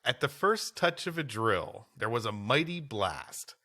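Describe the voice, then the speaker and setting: A man's voice: mid-range voice, big pitch swings, unhurried, pushed and bright. A man co-hosting a comedy podcast, reading history aloud and bantering around it. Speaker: podcast co-host.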